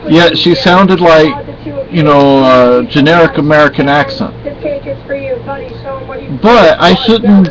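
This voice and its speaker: A man's voice: fairly high pitch, poor audio. A middle-aged man from Spain chatting casually and rambling on a home vlog, often correcting himself. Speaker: vlogger. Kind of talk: casual chat.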